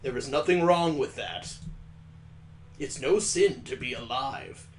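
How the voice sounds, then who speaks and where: A man voicing a lofty older woman storyteller in a visual novel, speaking in a measured, lofty way. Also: medium pitch, roomy sound, faint music behind, voice pushed down, lightly acted. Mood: solemn, lofty.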